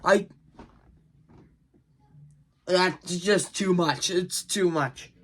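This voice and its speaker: A man's voice: high-pitched, very slow. A young man hosting a gaming video with casual, exasperated, repetitive reaction chat.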